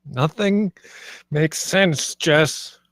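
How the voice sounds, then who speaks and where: A man's voice: fairly high pitch, extremely slow, a bit bright, no echo. An actor at a screenplay table read playing Michael, a paranoid, violent and frightened man who is by turns menacing and pleading. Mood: confused, broken. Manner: volatile, menacing then pleading.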